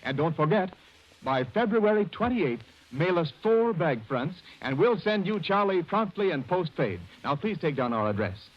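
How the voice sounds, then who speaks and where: A man's voice: fairly high pitch, sing-song, moderate pace. A man announcing a 1930s radio advertisement in an emphatic, salesmanlike way. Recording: dull vintage radio recording.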